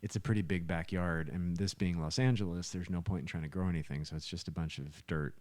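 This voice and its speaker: Male podcast host, low register, dry close sound, casual and talkative. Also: steady tone, quick pace, darkish tone, no room sound.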